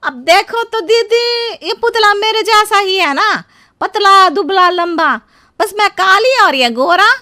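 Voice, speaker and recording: A naive young village woman for a comic cartoon. She is extremely high and bright, drawling slowly with childlike simplicity. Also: animated, full character voice. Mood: wide-eyed wonder. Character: naive, skinny young village woman, shy and wide-eyed.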